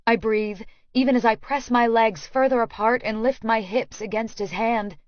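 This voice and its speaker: A woman's voice: a little high, fairly even intonation, normal speed, no room sound, dull audio. A woman narrating a first-person romance audiobook.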